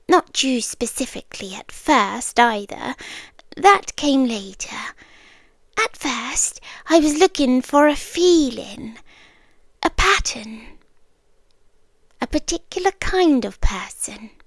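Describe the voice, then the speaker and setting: A British woman voicing an ancient, otherworldly, obsessively devoted female being in a hushed, deliberate ASMR roleplay, half whispering close to the microphone. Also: high voice, expressive, dry recording, full character voice. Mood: tender, intent. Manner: slow, deliberate, hushed, with dramatic pauses.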